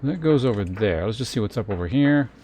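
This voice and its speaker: A man's voice: on the low side, animated, brisk, dark tone, a little hiss or hum. A man streaming a video game, giving casual live commentary and thinking aloud in short muttered remarks.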